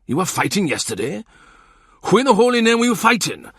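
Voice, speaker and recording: A man voicing an excitable, self-important man who rants with heated indignation. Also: a little high, moderate pace, breathy edge, no echo, pitched above the performer's own voice, exaggerated voice. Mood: ranting.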